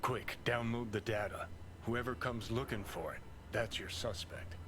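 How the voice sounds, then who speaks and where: A sardonic rebel played by a man, mid-pitched and gruff, tossing out blunt, cynical remarks in a video game. Clear background noise sits around the voice. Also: steady tone, a little slow, natural voice. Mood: urgent, wry. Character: cynical, foul-mouthed male rebel companion. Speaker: game character, companion.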